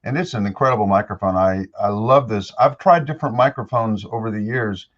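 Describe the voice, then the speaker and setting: An older man with a deeper voice, a voice-over actor talking easily as an interview guest into a studio microphone. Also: a little fast, muffled recording. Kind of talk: casual interview chat. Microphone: studio dynamic microphone.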